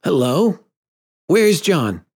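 A man's voice: medium pitch, big pitch swings, measured pace, a little mellow, breathy edge, voice pushed up. A man voicing a careless, boastful little boy who never grows up, blunt and demanding, in a storybook reading. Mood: careless, curious. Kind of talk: storybook character voice.

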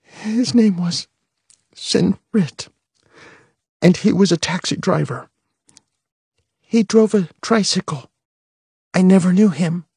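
A man's voice: high voice, slow pace, long pauses, darkish tone, slightly rough, no room sound, clean studio sound, pitched above the performer's own voice, heavily acted. A man voicing a scared nine-year-old boy who sputters words through tears. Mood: shaky. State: crying.

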